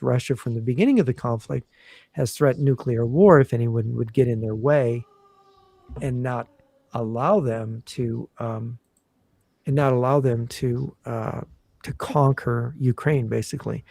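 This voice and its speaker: A man talking as a guest on a podcast, explaining at a measured pace with many fillers and restarts. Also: mid-range voice, vocal fry, dark tone, no room sound. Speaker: guest analyst. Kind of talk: casual podcast interview.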